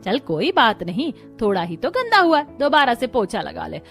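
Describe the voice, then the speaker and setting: A woman's voice: on the high side, big pitch swings, normal speed, creaky, a bit bright, full character voice. A woman voicing a scheming, quarrelsome older Indian mother-in-law in a moral story cartoon, with a sly, complaining, dramatic manner. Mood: dismissive. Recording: music behind the voice. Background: background music.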